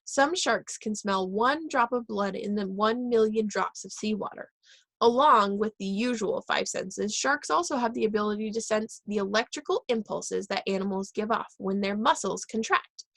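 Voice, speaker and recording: A woman reading a children's book aloud, pausing and correcting herself now and then. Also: mid-range voice, creaky, a bit bright, dry recording. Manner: reads aloud with pauses and self-corrections. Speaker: reader.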